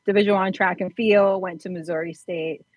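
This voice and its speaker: A woman's voice: on the low side, fairly even intonation, moderate pace, a little mellow, no room sound. A woman from Barbados, a fitness trainer, telling her personal story casually as a podcast guest.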